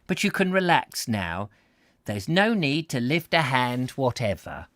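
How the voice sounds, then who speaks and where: Man doing a high, gushing old Welsh landlady. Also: very animated, a little slow, a bit bright, no echo, studio quality. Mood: gushing welcome. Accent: Welsh, put-on. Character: elderly Welsh landlady, gushing and fussily hospitable. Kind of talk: storybook character reading.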